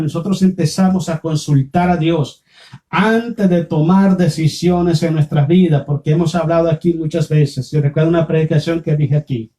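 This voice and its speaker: A man's voice: a little high, dark tone. A male pastor with a Nicaraguan Spanish accent preaching a didactic sermon, repeating phrases and posing rhetorical questions.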